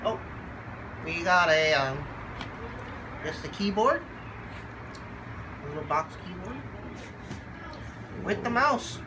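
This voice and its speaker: Slow, congested voice with background noise. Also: mid-range voice, lively intonation. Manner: halting, lots of fillers, chats to the dogs; slow, laid-back. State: congested from a cold. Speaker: channel host unboxing a computer. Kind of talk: casual unboxing vlog.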